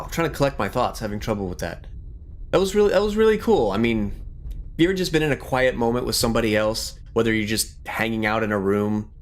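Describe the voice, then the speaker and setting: A middle-aged man giving casual, reflective gaming commentary in an earnest, rambling way. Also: fairly low pitch. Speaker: gaming YouTuber.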